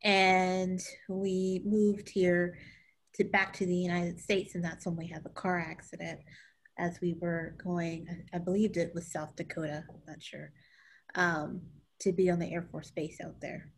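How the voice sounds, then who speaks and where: A woman in her thirties, a leadership coach, speaking candidly and animatedly on a video call from her home, sometimes trailing off softly. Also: on the low side, flat delivery, a little slow, slight background noise, low-quality recording. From born in Okinawa, grew up in California. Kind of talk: panel discussion. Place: small home room indoors. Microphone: laptop camera on video call.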